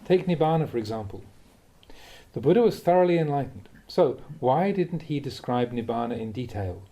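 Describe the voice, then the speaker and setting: A man, a Buddhist monk, reading a dharma talk aloud in a measured, reflective way with the occasional soft chuckle. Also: mid-range voice, lively intonation.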